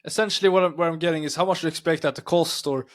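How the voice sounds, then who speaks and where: Fairly high-voiced man asking pointed questions. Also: steady tone, racing. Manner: direct, probing questions. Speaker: interviewer. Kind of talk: investor interview questions.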